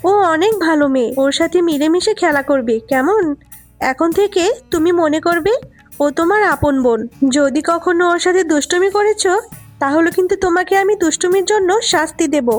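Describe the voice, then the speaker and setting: A woman's voice: extremely high pitch, fairly quick, faint music behind. A woman voicing a motherly aunt in a children's cartoon, caring but firm. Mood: firm, instructive.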